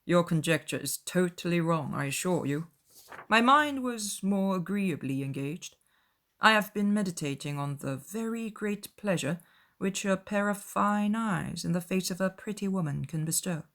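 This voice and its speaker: A woman voicing a proud, reserved gentleman with a grave, formal, clipped manner in an audiobook. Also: fairly low pitch, expressive, normal speed. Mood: composed, dry.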